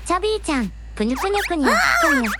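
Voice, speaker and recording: A gentle young princess, played by a woman, with a high and lively voice. She is courteous and playful in audio drama style. The recording is clearly noisy. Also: very fast. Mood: sweet, polite. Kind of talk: Japanese audio drama acting.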